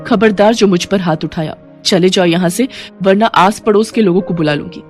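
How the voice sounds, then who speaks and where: A woman's voice: mid-range voice, moderate pace, a little mellow, lightly acted. A woman voicing a poor, hardworking, defiant mother who sells sweets, outspoken and firm, in a moral story. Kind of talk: moral-story cartoon acting. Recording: music bed behind the voice.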